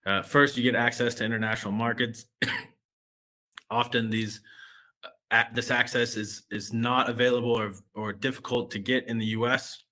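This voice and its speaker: A man's voice: medium pitch, flat delivery, moderate pace, sharp tone. An American man, a relationship manager, presenting a finance webinar in a loose way, with many fillers and restarts. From United States.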